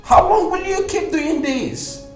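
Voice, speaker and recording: An actor playing a blunt friend who lectures with exasperation. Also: male voice, very high-pitched, takes it slowly, a little mellow, soft background music. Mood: lecturing. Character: blunt friend giving advice. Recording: echoey room.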